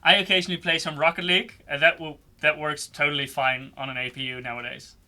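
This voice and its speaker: A man's voice: fairly high pitch, steady tone, fast, pushed and bright. A man hosting a livestream, interviewing in a friendly, chatty, casual way. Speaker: livestream host.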